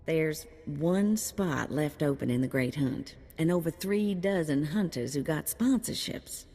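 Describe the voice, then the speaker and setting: A woman's voice: low voice, animated, a little slow, warm tone, faint music behind, exaggerated voice. A woman playing a folksy, familiar, straight-talking handler of bounty hunters in a video game. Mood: frank. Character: folksy, chummy female bounty hunt handler. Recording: long reverb.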